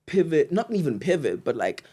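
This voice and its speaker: A man's voice: fairly high pitch, very animated, fairly quick, noisy background. A young man co-hosting a casual interview show, talking in an effusive, informal and emphatic way. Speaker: podcast co-host.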